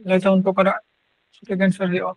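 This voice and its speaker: High-pitched man teaching, even tone. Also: dry recording, poor audio. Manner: repeats questions and answers in short, halting phrases. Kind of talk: exam question-and-answer lesson.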